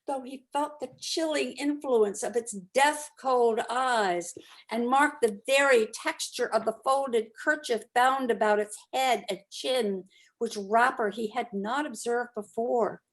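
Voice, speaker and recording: A woman reading classic literature aloud as narrator, with expressive, deliberate dramatic storytelling. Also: fairly high pitch. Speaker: narrator, community reader.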